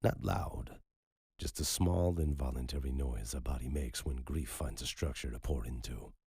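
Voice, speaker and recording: A man narrating a dark story in a slow, brooding, measured way. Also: extremely low pitch, slightly rough, no room sound, studio quality.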